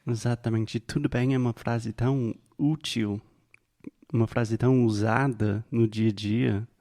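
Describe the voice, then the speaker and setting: Guy cohosting a language show, mellow warm voice, asks careful questions, slows down on the key bits. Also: mid-range voice, steady tone. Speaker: podcast co-host and Portuguese learner. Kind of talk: casual teaching chat.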